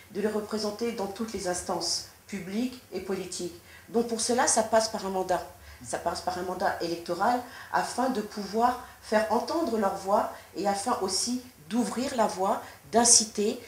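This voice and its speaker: Formal woman in an echoey room. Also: mid-range voice. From France. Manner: measured, formal, with frequent restarts. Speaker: politician being interviewed. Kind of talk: interview answers. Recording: echoey room with faint noise.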